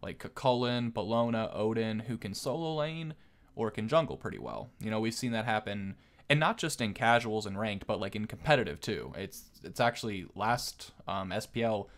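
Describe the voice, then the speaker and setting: A man's voice: on the low side, expressive, normal speed. A man giving casual game commentary as a video host, explaining things in a conversational way.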